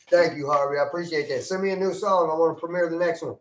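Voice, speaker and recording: A man's voice: fairly high pitch, quick pace, reverberant, poor audio. A man hosting a casual radio talk show, brash and swearing freely as he rants. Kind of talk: casual profane banter. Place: radio studio.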